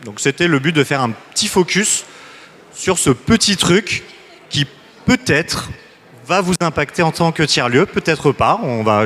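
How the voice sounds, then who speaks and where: A man's voice: a little high, fairly bright tone. A man facilitating a citizens' assembly, loose and joking, with many restarts.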